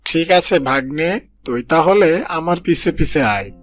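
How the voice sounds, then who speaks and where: A man plays a sly, wicked old fox from a children's cartoon. He talks in a mocking, menacing way, pitched a little high, over faint music. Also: animated, a little slow, a bit bright. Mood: sly coaxing. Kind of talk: children's moral-story cartoon dialogue.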